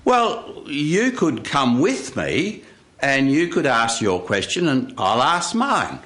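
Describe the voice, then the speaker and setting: A man's voice: medium pitch, sing-song, slow pace, a bit bright. Man playing a hesitant, miserable little man. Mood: friendly. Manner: hesitant, stammering starts. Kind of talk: live storytelling.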